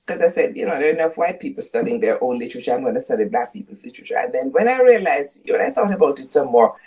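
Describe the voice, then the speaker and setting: An older woman from Jamaica with a Jamaican accent, speaking as a guest professor in a measured, storytelling way that leans on key words. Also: a bass voice, lively intonation, dark tone, slightly rough. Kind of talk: reflective interview conversation.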